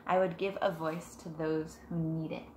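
Woman in her 30s doing a gentle storybook read-aloud at home, slightly low voice, bit of background noise. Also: level tone, normal speed. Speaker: teacher reading aloud to pupils. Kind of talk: picture book read-aloud. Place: living room at home, on a couch. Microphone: device camera a short distance away. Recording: noticeable room background noise.

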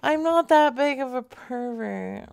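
Slow, crude-talking woman prank caller. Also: high voice, animated, vocal fry, clean tone, no room sound. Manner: crude, teasing, rambling. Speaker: prank call show host. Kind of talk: casual improvised prank calling.

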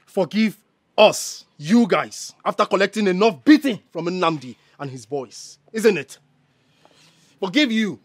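An actress with a Nigerian accent playing a wronged, hot-tempered woman in a screen drama, loud and confrontational. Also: mid-range voice, expressive, a little slow, lightly acted. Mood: bitter, anguished.

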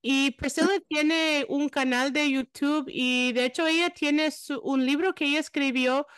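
A woman's voice: high-pitched, steady tone, a little slow, dry recording, clean studio sound. A woman in her thirties hosting a podcast interview over a video call, encouraging and affirming, speaking Spanish as a second language after English. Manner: warm-paced, encouraging, affirming. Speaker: podcast host. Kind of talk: casual interview conversation. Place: room with plain gray wall.

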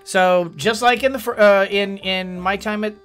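A man's voice: high voice, fairly even intonation, normal speed, over music. A man in his forties giving chatty, joking let's-play commentary from a home gaming room. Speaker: gaming streamer.

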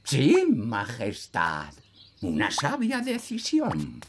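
A man voicing a smug, wise old elf in a children's cartoon, pompous and deliberate. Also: medium pitch, wildly swooping pitch, slight background noise, exaggerated voice. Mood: know-all. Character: smug, wise old male elf, know-all builder. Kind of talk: animated children's cartoon dub.

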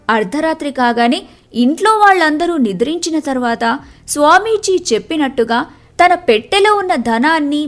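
A woman narrating a scripted moral story for children as the storyteller. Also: high-pitched.

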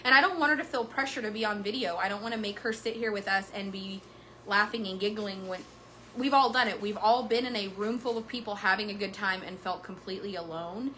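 Host in her 30s, frank and animated, bright voice, slightly high, faint room noise. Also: brisk. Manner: frank, animated, swears casually. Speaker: horse business owner and channel host. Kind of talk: casual chat to viewers. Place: wood-paneled indoor room.

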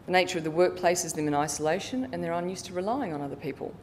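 A middle-aged woman giving a prepared formal conference presentation in a measured way, occasionally stumbling as she reads from her notes. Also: a little mellow. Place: conference hall stage, indoors. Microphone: lectern microphone. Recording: reverberant hall, audible low background rumble.

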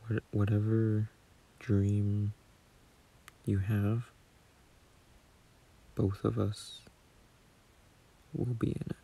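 A man playing a gentle, shy, doting young boyfriend in a sleep-aid audio roleplay, murmuring softly and hesitantly close to a phone mic. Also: lowish voice, little pitch movement, drawn-out words, long pauses, full character voice, poor audio. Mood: soothing, tender. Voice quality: husky, soft, breathy murmur.

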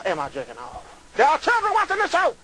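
A man's voice: extremely high pitch, huge pitch swings, fairly quick, noisy background. An older man hosting a live call-in show with sassy, theatrical, scolding banter in a small TV studio.

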